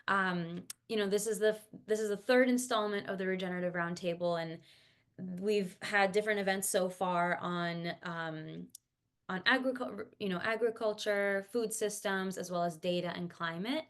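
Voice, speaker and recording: A woman's voice: medium pitch, moderate pace. A woman hosting an online panel talk over a video call, speaking casually with fillers and restarts and encouraging the audience. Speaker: host and founder of a climate career coaching agency. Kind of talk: webinar hosting.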